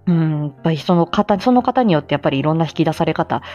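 A woman's voice: low voice, lively intonation, fast talker, a little mellow, lo-fi sound, faint music behind. A middle-aged woman hosting a casual livestream from home, chatty, playful and self-deprecating in manner. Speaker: livestream host.

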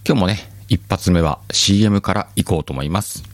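A man hosting a podcast in casual chat, with relaxed, chuckling asides. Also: sits low, fairly quick, no echo. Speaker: podcast host.

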